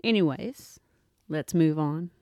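A woman's voice: low voice, takes it slowly, no echo, dull audio. A woman co-hosting a podcast, talking in an earnest, conversational way. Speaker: podcast co-host. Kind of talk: casual chat.

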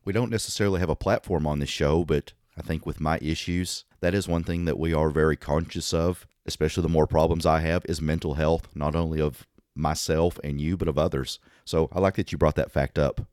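A man co-hosting a podcast in casual chat, his voice hoarse and raspy today. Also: a bass voice, steady tone, brisk, darkish tone, dry recording, studio quality. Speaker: podcast co-host.

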